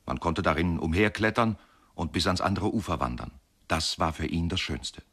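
Reflective man narrator, deep voice, measured expressive delivery, literary radio drama. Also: slightly rough, exaggerated voice. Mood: reflective, wondering. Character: reflective male narrator recalling a riverside boyhood. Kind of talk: literary scripted narration, 1970s German radio play.

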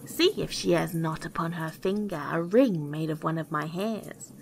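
A woman's voice: low voice, normal speed, creaky, a little hiss or hum, poor audio. A woman voicing a small, ugly, jealous male dwarf in a storybook, defiant and haughty. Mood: defiant, enraged.

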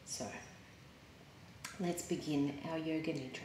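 A woman yoga teacher leading a guided meditation in a calm, soothing, measured way with pauses. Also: low voice, a little hiss or hum. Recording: roomy, echoing sound.

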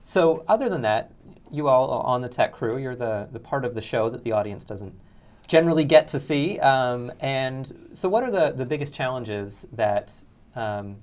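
Young man, halting, encouraging interviewer. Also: mid-range voice, lively intonation, darkish tone. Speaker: co-host, interviewer. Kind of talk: casual interview. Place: small indoor room, seated.

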